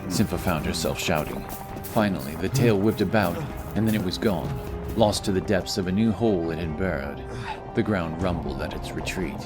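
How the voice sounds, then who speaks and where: Deep-voiced male fantasy narrator over music. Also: normal speed. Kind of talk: scripted dramatic fantasy narration. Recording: cinematic music behind the voice.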